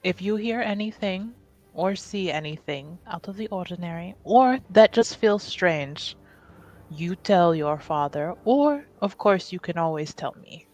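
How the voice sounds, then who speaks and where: Woman acting slow-spoken, affectionate female confidante. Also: fairly low pitch, lively intonation, a little mellow, faint music behind, poor audio. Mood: gently instructing. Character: affectionate, gently conspiratorial woman confiding in a youngster.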